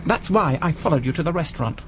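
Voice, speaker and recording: High-pitched, stiffly indignant Englishman played by an actor. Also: expressive, fast talker, slight background noise, close to an everyday voice. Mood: indignant, threatening. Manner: tense, blustering, awkward. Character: stiff, indignant, threatening English office man. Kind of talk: BBC radio drama acting.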